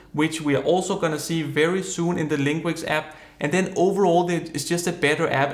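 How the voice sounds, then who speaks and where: A man, explaining a software product in a plain, upbeat review style. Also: a little high, roomy sound. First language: Danish. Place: studio room with purple backdrop.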